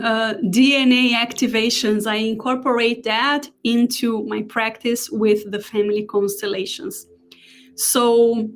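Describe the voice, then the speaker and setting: A woman in her forties from Brazil with a Brazilian Portuguese accent, giving an earnest live online talk as a holistic therapist and pausing often to check her notes. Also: on the high side.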